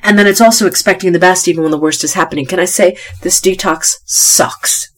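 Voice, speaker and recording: Tired stuffy woman doing a motivational podcast, kinda low voice, hammers her points over and over. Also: brisk. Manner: emphatic, repeats key phrases for emphasis. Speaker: podcast host. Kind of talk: motivational solo podcast talk.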